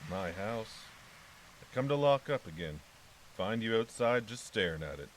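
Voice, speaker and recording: A man's voice: fairly low pitch, lively intonation, moderate pace, close to an everyday voice, poor audio. A man playing a laconic, wary keeper of a haunted house in an audio drama, with a folksy manner. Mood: dry, suspicious. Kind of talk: naturalistic audio drama acting. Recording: clearly hissy recording.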